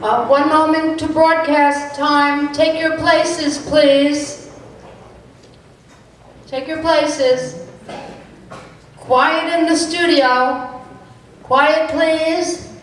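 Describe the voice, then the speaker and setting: A woman's voice: high voice, fairly even intonation, very slow, pauses often, slight background noise. A woman playing a stern radio studio director in an amateur stage play. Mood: commanding. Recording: echoey hall, far from microphone.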